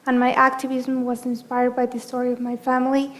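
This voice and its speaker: High-voiced young woman from Argentina. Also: completely flat delivery, brisk. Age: young adult. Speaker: panelist, education advocate. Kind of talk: prepared self-introduction. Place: university conference hall.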